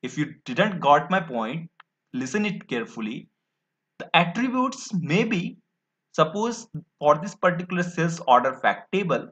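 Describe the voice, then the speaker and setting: A man's voice: a little high, a bit bright. A man gives a slide lecture, explaining step by step with many fillers and restarts. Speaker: instructor. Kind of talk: screen-recorded slide lecture. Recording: dull lo-fi audio.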